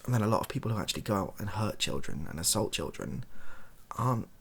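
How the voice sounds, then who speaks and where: A British man hosts a softly spoken, off-the-cuff monologue. His low voice is breathy and husky, yet it moves up and down in a lively way. He hesitates and corrects himself as he thinks aloud. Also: fast talker. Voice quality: soft-spoken. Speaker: video host.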